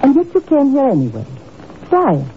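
A haughty, cold crime boss in a 1950s radio play, with a theatrical, widely swooping delivery. The recording is dull and faintly noisy. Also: female voice, high voice, huge pitch swings, normal speed. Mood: cold, mocking. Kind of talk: 1950s radio drama acting.

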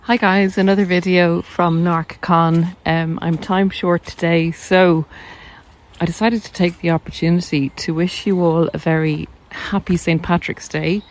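A woman with an Irish accent talking informally and reflectively to viewers while out on a walk, pausing as she explains. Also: on the low side, fairly even intonation, low-quality recording. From Ireland. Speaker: video host, narcissism coach. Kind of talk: casual chat to camera while walking. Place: outdoors on a country walk.

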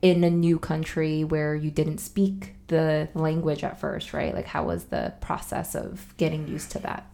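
A woman's voice: deep voice, unhurried, creaky, hardly any pauses, mellow. A young adult woman hosting a podcast, chatting curiously with a friend and using frequent fillers. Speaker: podcast host. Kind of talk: casual interview.

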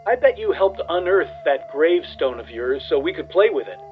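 A man playing a nostalgic, earnest small-town man who speaks in a halting, wondering way in a radio play. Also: fairly high pitch, expressive, phone-quality sound, soft background music, lightly acted. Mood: fond, wondering. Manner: halting, wondering, repeats himself.

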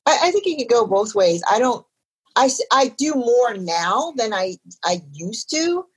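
A woman's voice: a little high, sing-song, unhurried, slightly rough. A woman in a casual chat, speaking openly and candidly about her feelings.

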